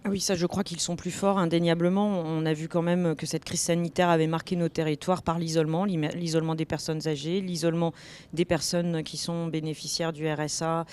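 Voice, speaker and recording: A woman's voice: low-pitched, little pitch movement, brisk, darkish tone, clean tone. A woman who is an elected official, giving explanatory answers in a panel interview, phrasing hesitantly and repeating words. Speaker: elected official, vice-president of a local authority. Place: TV set at a convention.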